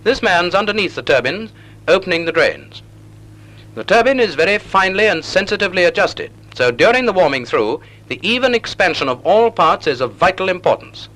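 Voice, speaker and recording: A man narrating a 1940s naval instructional film in a measured, didactic, precisely enunciated manner on a period film soundtrack. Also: high voice, big pitch swings, fairly bright tone, poor audio.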